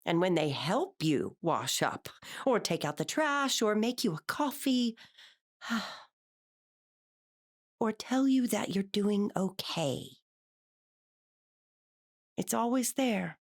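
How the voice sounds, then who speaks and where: A woman's voice: mid-range voice, big pitch swings, slow, pauses often, exaggerated voice. A woman acting an anxious, controlling middle-aged wife and mother in an audio drama, with an earnest, exacting manner. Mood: tender, reflective. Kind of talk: audio drama acting, confessional monologue and dialogue.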